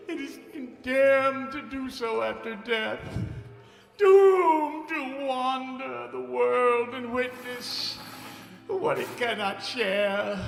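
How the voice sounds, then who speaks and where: A man playing a tormented, mournful ghost of a dead miser in a stage radio drama, ominous and declamatory, with drawn-out wails. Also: extremely high pitch, creaky, fairly bright tone, heavily acted. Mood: solemn, accusing. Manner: slow, declamatory, wailing, drawn-out delivery. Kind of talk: radio-style stage audio drama acting. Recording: reverberant echoing voice over faint music.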